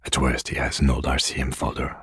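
A man voicing a composed, methodical police detective in a video game, speaking in a measured, formal, understated way. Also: rumbling low, monotone, a bit bright, breathy edge, dry recording, studio quality, heavily acted. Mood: measured, serious. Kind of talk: video game character dialogue.

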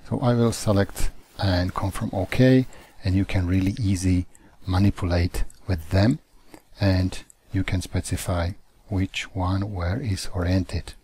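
A man who does not speak natively, teaching CAD software in a halting, careful way over a screen recording. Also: low voice, fairly even intonation, slightly rough. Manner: slow, halting phrasing with non-native grammar.

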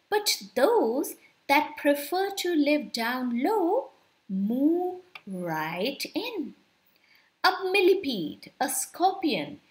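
Woman, high voice, lively intonation, expressive children's read-aloud, deliberate storyteller. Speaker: storyteller, channel host.

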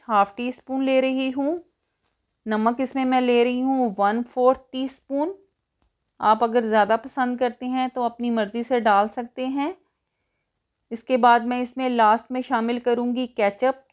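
A woman hosting a cooking tutorial in voice-over, explaining each step in an instructional way. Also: fairly high pitch. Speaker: cooking show host.

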